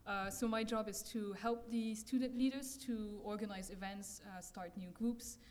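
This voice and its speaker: A young woman from France presenting her campus ministry work with slides, soft-spoken and pausing to search for words. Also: mid-range voice, slight background noise.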